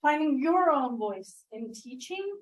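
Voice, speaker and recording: High-voiced woman leading a class workshop. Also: animated, measured pace, poor audio. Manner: engaging, asks the group questions and repeats their answers. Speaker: workshop presenter. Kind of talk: interactive teaching workshop.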